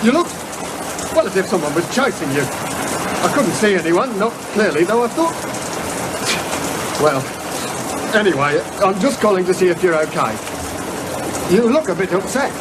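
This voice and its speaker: In a radio drama, a worried, polite constable. His voice sits high and he hesitates respectfully. Heavy rain noise surrounds him. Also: very animated, moderate pace, pauses often. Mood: concerned, polite. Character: earnest, concerned male police constable. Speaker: police constable. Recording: loud rain noise, slightly distant.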